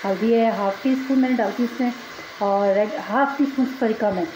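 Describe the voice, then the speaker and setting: A woman's voice: on the high side, a little fast. A middle-aged woman giving a casual home cooking tutorial, explaining each step with chatty asides. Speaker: cooking vlogger. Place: home kitchen at the stove. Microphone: phone camera held over the pot. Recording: echoey kitchen with cooking noise. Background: frying and sizzling.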